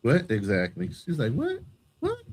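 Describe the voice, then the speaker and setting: A man's voice: mid-range voice, huge pitch swings, measured pace, darkish tone. A man ribbing the others playfully in a casual panel chat.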